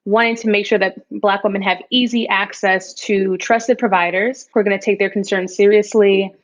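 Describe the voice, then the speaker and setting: A woman's voice: medium pitch, steady tone, normal speed. A woman from New York City, a startup founder answering interview questions earnestly and at length. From New York City, the Bronx. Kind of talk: podcast interview.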